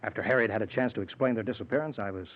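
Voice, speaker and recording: An actor playing a cold, vengeful scientist with an offhand, domineering manner in 1940s radio drama style. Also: male voice, fairly low pitch, rapid-fire, dull audio, natural voice. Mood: callous, offhand. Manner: smooth, offhand, dismissive, domineering. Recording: noisy, band-limited 1940s radio recording.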